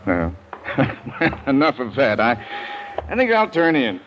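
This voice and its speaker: An actor playing a thoughtful, uneasy gentleman hunter with a hesitant, musing manner in 1940s radio style. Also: medium pitch, wildly swooping pitch, slow. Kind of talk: 1940s radio drama acting. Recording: dull, noisy old radio recording.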